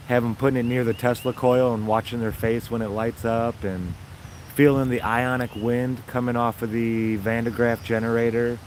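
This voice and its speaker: A man with a raspy voice, casually chatting to his viewers in a rambling, upbeat way. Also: mid-range voice, a little mellow, a little hiss or hum. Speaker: vlogger.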